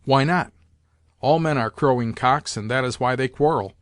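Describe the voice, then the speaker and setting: A man's voice: medium pitch, normal speed, no echo, clean studio sound, natural voice. A man reading a novel aloud, voicing an imperious old grandmother who bluntly scolds and gives orders. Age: older. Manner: commanding and blunt, hurling insults. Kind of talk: audiobook character voice.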